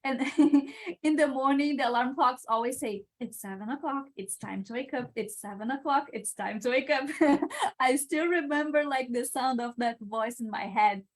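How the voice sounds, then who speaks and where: Brazilian-accented woman, halting and upbeat. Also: sits high, expressive. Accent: Brazilian Portuguese. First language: Portuguese. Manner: hesitant, searching for words with many uh's and restarts. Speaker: guest, international engineering student. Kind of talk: live-stream Q&A answers.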